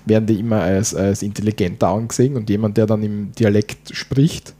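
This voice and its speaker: A man's voice: on the low side, steady tone, a little fast, warm tone. A man with an Austrian German accent and a rolling R, chatting casually as a podcast co-host, his dialect drifting in and out as he talks. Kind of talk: casual chat.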